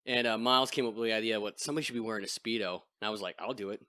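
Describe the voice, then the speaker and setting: Deep-voiced man joking crudely on a podcast. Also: fairly even intonation, rapid-fire, sharp tone, no room sound, studio quality. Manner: joking, crude, self-deprecating. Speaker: podcast host. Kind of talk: casual chat over beers.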